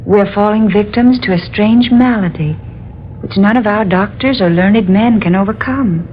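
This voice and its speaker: An actress voices a gentle young princess, the ruler's daughter, in a mid-pitched voice. She speaks in the pleading, melodramatic manner of 1940s radio. The sound is dull and muffled, with faint hiss. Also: normal speed, close to an everyday voice. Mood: grave. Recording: muffled old radio broadcast.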